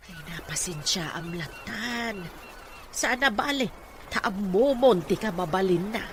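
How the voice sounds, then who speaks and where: A woman's voice: medium pitch, expressive, measured pace, a little hiss or hum, lightly acted. A woman playing a protective, outspoken older mother in an Ilocano audio drama. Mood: concerned. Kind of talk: Ilocano radio-style drama acting.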